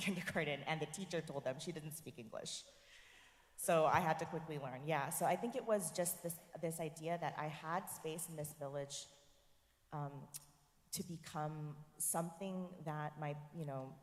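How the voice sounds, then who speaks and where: Low-voiced woman in an echoey hall. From United States. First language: Korean. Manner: earnest, tags points with "right?". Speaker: professor, forum guest. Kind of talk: reflective interview conversation. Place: church forum hall.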